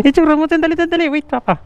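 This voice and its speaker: A man's voice: very high voice, fairly quick, noisy background. A young man vlogging casually about his motorcycle helmet camera setup, with chatty repeated fillers, recorded on a helmet-mounted camera mic outdoors. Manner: chatty, addresses viewers as "lods", repeats fillers. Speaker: motovlogger. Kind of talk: casual how-to vlog. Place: outdoors at home, then riding a motorcycle. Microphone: helmet-mounted action camera mic.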